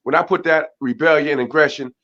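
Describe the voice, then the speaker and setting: A man with a deep voice who talks emphatically and preachily as an interview guest, often tagging his points with "right?". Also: fast talker, a bit bright, highs cut off. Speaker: guest, boxer and community mentor. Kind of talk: casual interview talk.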